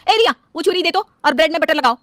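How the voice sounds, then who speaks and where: A woman's voice: extremely high pitch, animated, quick pace, fairly bright tone, dry recording, studio quality, voice pushed up. A woman voicing an exasperated, ambitious working daughter-in-law who grumbles her way through a family story.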